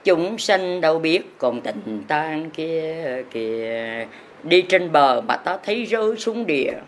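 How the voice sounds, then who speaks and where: Woman, religious teacher, chanted verse sermon, lowish voice, faint background hiss. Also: animated, slow.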